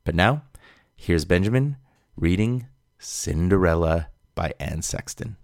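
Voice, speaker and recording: Deep-voiced male podcast host, dry close sound. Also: expressive, measured pace, no room sound. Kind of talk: conversational scripted introduction.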